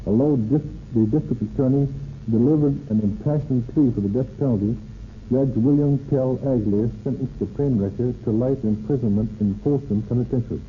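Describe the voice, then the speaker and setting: A man's voice: mid-range voice, a little fast. A man reading formal scripted narration in the style of a 1930s radio program. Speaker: narrator, police captain. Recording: tinny, hissy old radio recording.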